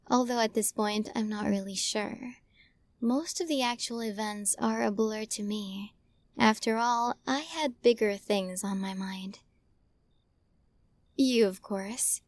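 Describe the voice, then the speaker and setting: A woman's voice: medium pitch, no room sound. A woman acts out an adoring but controlling witch for an ASMR roleplay. She takes her time, coaxing sweetly with menace underneath and often dropping into a whisper. The sound is intimate, close and very dry. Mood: storytelling. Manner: slow, coaxing, hushed, often slipping into whispers. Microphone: close microphone, dry recording.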